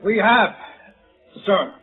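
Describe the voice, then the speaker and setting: A man's voice: sits high, very slow, narrow, tinny audio. An actor playing a hesitant, solemn jury foreman in a 1950s radio drama. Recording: old band-limited radio recording, music behind.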